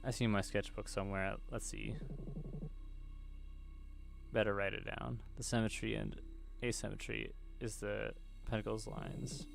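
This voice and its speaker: A man's voice: fairly low pitch, level tone, unhurried, creaky, pauses often, faint music behind. A man hosting gaming videos, reading a visual novel's script aloud as he plays.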